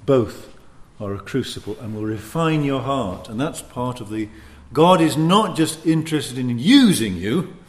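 A man preaching in a teaching sermon, speaking in deliberate, emphatic short phrases with pauses between them. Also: mid-range voice, expressive, a little mellow. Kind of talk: sermon teaching with scripture and anecdotes.

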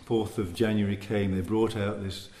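A man preaching in a teaching sermon, speaking in deliberate, emphatic short phrases with pauses between them. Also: on the low side, flat delivery, mellow. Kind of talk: sermon teaching with scripture and anecdotes.

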